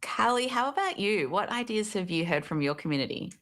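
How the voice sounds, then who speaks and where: A woman's voice: mid-range voice, animated, a little fast, fairly bright tone. A woman moderating a panel discussion, putting upbeat, inviting questions to each speaker in turn.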